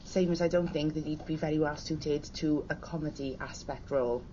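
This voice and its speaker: A woman's voice: low-pitched, steady tone, fairly quick, mellow. A woman with a broad Cardiff accent speaking plainly as a guest on a radio discussion. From Cardiff, Wales. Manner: plain-spoken and direct. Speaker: studio guest. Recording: dull broadcast audio with faint hiss.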